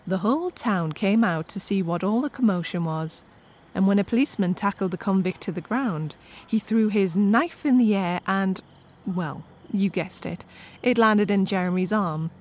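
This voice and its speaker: A woman's voice: medium pitch, normal speed, darkish tone. A woman narrating a scripted comic story with wry, conversational asides to the listener.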